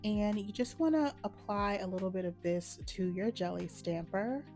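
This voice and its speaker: Woman host, a little hoarse, warm mellow tone, calm how-to delivery, soft music underneath. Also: medium pitch, moderate pace. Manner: relaxed, matter-of-fact instructions. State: slightly hoarse from a cold. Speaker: nail art channel host. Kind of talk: casual step-by-step tutorial narration.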